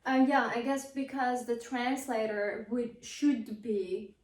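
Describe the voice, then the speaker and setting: A fairly high-pitched woman talks through a process in a casual vlog, halting and hunting for the right words. Her is Farsi. The sound is roomy and echoey, with the mic set some way off. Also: fairly even intonation. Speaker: vlogger co-host. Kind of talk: casual explainer chat. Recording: roomy echo, mic at a distance.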